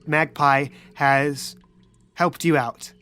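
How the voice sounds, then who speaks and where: Woman running a tabletop game, narrating scenes in a lowish voice. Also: steady tone, very slow, fairly bright tone, faint music behind. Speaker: game master. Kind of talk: narrating a tabletop roleplay podcast.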